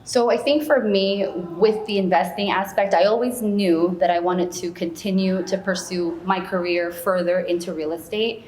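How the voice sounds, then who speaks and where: A woman's voice: fairly low pitch, moderate pace, hardly any pauses, darkish tone. A woman who grew up in the United States, answering as an interview guest in a chatty, conversational way with frequent small asides. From United States, born in Peru. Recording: echoey room, faint background noise.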